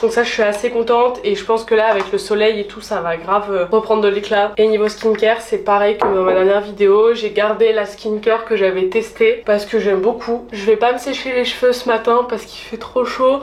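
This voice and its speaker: A young woman from France chats through her day in a casual, upbeat vlog, her voice a touch stuffy from a cold. She sits at mid pitch, neither high nor low. Faint room noise hums behind her camera mic. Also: fairly even intonation, a little fast, few pauses, darkish tone. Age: young adult. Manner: chatty, upbeat, slangy. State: has a cold. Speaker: vlogger. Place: at home.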